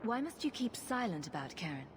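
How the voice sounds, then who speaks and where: A woman's voice: mid-range voice, big pitch swings, fairly quick, background noise, natural voice. An actress playing a confident, sarcastic female rogue adventurer in a video game, with a flippant manner. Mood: probing, flippant. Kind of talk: video game dialogue acting.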